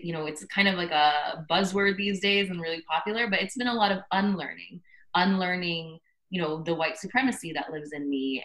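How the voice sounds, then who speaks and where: A woman's voice: deep voice, a bit bright, rough recording. A woman with a Canadian accent, answering as a podcast guest, reflecting and thinking aloud about personal experience. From Canada, Toronto area. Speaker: podcast guest, social impact worker.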